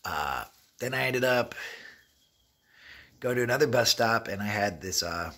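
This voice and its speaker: A man in his thirties with a fairly low but forceful, husky voice. He talks like a casual travel vlogger, rambling through streetwise stories and acting out what people said. He speaks to a camera held close. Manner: rambling, streetwise, animated retelling with quoted dialogue. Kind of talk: casual storytelling vlog, talking to camera. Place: inside an open derelict wooden shack. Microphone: phone or camera at arm's length.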